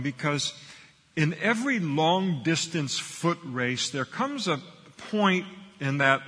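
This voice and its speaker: Guy preaching in a church, brightish voice a bit high, long hall echo behind him. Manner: earnest expository preaching with a touch of humor. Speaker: pastor. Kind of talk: sermon.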